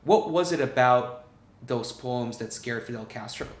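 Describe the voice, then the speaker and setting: A male podcast interviewer with a medium-pitched voice puts questions conversationally. The sound is noticeably roomy, with clear echo around his words. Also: measured pace, a little hiss or hum.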